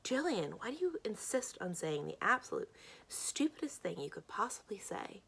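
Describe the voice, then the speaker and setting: A woman voicing a prickly, exasperated teenage boy in a story, blunt, sarcastic and forceful. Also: fairly low pitch, moderate pace, vocal fry.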